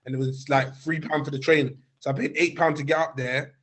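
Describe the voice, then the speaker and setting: Young host, chatty storyteller, slightly raised pitch, quick restarts. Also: male voice. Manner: animated anecdote, many restarts. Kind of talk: casual chat, storytelling.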